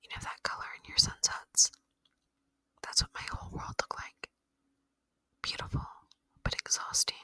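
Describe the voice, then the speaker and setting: A woman voicing a gentle, lonely, curious alien in a soft, wistful, intimate ASMR roleplay whisper. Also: moderate pace, long pauses, close to an everyday voice, rough recording. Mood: wistful, dreamy.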